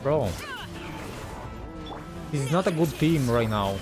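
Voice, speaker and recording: A man's voice: mid-range voice, lively intonation, slow pace. A man giving casual, informal gameplay commentary as a streamer, muttering his reactions. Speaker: video game streamer. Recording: game music behind the voice. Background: game music.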